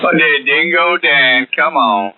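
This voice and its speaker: A man's voice: a little high, expressive, slow pace. A man chatting casually as host of a CB radio net, using folksy, salty radio slang. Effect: CB radio transmission. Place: over CB radio. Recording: tinny CB radio, loud static and clicks.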